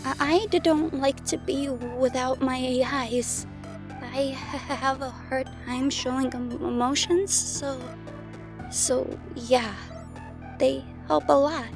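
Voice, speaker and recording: Woman as a high-voiced, stammering shy teenage girl. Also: fairly even intonation, slow, music in the background. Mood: anxious. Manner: hesitant, stammering, timid. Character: shy anxious stammering sixteen-year-old girl programmer. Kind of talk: audio drama acting.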